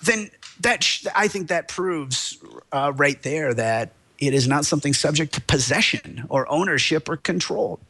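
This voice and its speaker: Excitable rambling man, bright tone. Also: fairly high pitch, moderate pace. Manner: rambling, excitable, many restarts. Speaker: lawyer guest. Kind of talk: animated podcast discussion.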